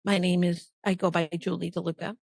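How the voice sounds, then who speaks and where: A woman's voice: lowish voice, little pitch movement, brisk, gravelly, no echo, clean studio sound. A woman from El Salvador who later moved to Miami, telling personal stories conversationally as a podcast guest and often checking for agreement. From El Salvador until age ten, then Miami. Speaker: podcast guest, business coach.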